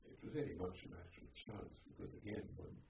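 An elderly man reminiscing in an oral history interview, speaking in a halting, reflective way. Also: low-pitched, wildly swooping pitch, vocal fry. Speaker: interviewee, former army officer. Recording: dull, noisy, echoey, distant microphone.

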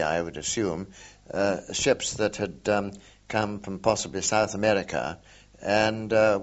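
An older man, a war veteran, recalling events in an oral history interview, hesitant with many pauses and fillers. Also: fairly low pitch. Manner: hesitant, many ums and uhs, measured. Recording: dull lo-fi recording.

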